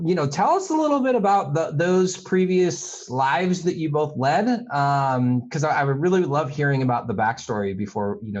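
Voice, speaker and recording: A man hosts a podcast interview and leads the talk in a friendly, upbeat way. His voice has a middling pitch, and the recording of it sounds a little dull and muffled. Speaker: podcast host. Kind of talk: casual interview hosting.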